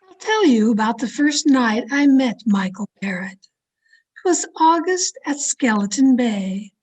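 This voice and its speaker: Woman acting a high-pitched, haunted radio heroine. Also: animated, darkish tone, slightly rough, rough recording. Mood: haunted, intense. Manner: melodramatic, deliberate. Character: restless, haunted woman narrating her mystery tale. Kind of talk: old-time radio drama acting.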